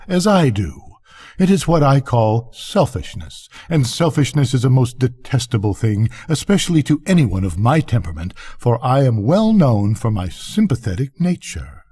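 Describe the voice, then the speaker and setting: Man as vain talking firework, haughty, self-important, animated pitch, husky, storybook character. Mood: haughty, boastful. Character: pompous, conceited male firework rocket, haughty and vain.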